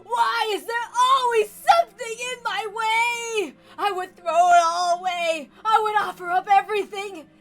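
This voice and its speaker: A woman's voice: very high voice, steady tone, a little slow, hardly any pauses, a bit bright, soft background music, pitched above the performer's own voice. A woman voicing an aged, raging patriarch who bellows bombastically and draws out words in a theatrical reading. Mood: anguished, grandiose.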